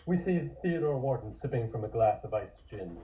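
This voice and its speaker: A man narrates a script at a table read. His voice is mellow and unforced, sitting at a middle pitch, as he reads out scene descriptions. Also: expressive, normal speed.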